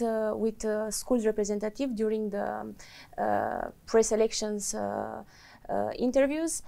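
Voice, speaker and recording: A woman's voice: a little high, vocal fry, a little mellow. A woman from Romania, an MBA student answering interview questions hesitantly with many fillers.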